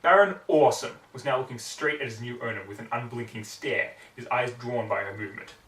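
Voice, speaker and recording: A man's voice: mid-range voice, fairly quick, a bit bright. Theatrical male storyteller in an echoey room. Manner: hammy, theatrical. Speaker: amateur story reader. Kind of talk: expressive fan fiction narration. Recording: roomy echo, distant microphone.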